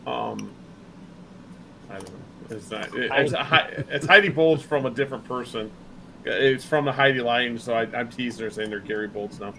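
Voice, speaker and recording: Bright-voiced enthusiastic middle-aged podcast host. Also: male voice, medium pitch, normal speed, a little hiss or hum, rough recording. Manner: enthusiastic, rambling, repeats himself. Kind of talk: casual podcast chat.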